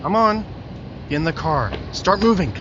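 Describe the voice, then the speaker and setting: A man growling as a gruff armed robber. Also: high-pitched, animated, moderate pace, lo-fi sound, noisy background. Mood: menacing. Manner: gruff, clipped orders. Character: menacing male armed robber, curt and threatening. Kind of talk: 1940s radio drama acting.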